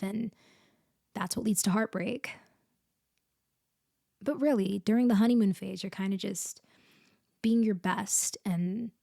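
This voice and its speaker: A woman plays a blunt, caring female best friend in an intimate comfort roleplay. Her medium-pitched voice is conversational and unhurried in feel, with small hesitant pauses. The sound is close and very dry. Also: gravelly, dark tone, no room sound. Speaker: audio roleplay performer. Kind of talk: intimate roleplay ASMR, casual one-sided conversation.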